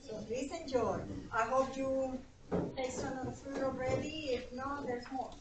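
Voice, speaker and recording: A woman's voice: high-pitched, steady tone, unhurried, very noisy. A woman hosting a community event, addressing a gathered audience in an echoey indoor hall. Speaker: event host at a cultural institute. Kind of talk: welcoming an audience, bilingual prayer and remarks. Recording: echoey room, distant mic, loud crowd.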